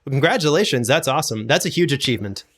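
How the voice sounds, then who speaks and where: A man's voice: mid-range voice, animated, fast, a bit bright. A man speaking as a podcast guest about production work, informal, enthusiastic and agreeable, close on a studio condenser microphone. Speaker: podcast guest, audio drama producer and sound designer. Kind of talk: casual interview chat about audio production.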